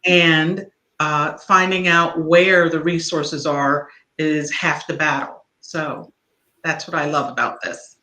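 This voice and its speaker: Bright-voiced woman co-host, echoey room. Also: low-pitched, fairly even intonation, slow pace. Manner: chatty, with fillers like "you know". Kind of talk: casual live-stream chat. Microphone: video call.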